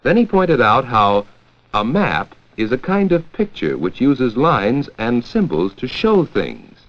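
An American man narrating a 1940s educational film from a script, with a clear, measured storytelling delivery. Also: mid-range voice, wildly swooping pitch. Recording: dull, lo-fi 1940s 16mm film soundtrack.

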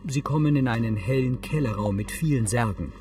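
A man's voice: mid-range voice, fairly quick. A man reading scripted audio description in the role of narrator.

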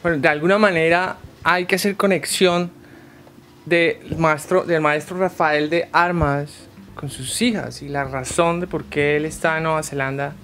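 Young man, TV host, halting questions, faint music underneath. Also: a little high, steady tone. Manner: slow, halting questions with many restarts. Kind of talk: TV interview. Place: living room, indoors.

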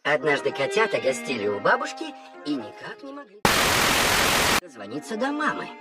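A woman's voice: low-pitched, wildly swooping pitch, moderate pace. A woman reading scripted narration for a children's cartoon. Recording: music under the voice.

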